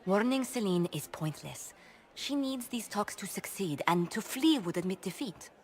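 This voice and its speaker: An actress playing an earnest, sharp diplomatic adviser in a video game, brisk and emphatic. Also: female voice, mid-range voice, lively intonation, slight background noise, natural voice. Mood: concerned, urgent. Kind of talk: video game dialogue acting.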